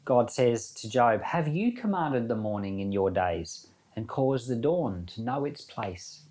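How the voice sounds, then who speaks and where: A man's voice: medium pitch, animated, unhurried, darkish tone. A man giving an informal sermon as a Bible teacher, reading scripture and commenting in a conversational way with rhetorical questions.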